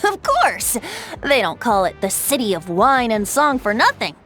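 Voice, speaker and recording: High, lilting boyish bard. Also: female voice, big pitch swings, unhurried, a bit bright, faint music behind. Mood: playfully boastful. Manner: playful, theatrical. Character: cheerful, teasing, boyish wandering bard. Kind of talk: video game voice acting.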